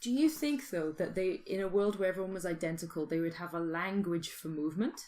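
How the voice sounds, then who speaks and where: Low-voiced British woman chatting casually. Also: fairly quick, a little mellow. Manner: informal, musing, thinks aloud. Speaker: podcast host. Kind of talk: casual speculative chat.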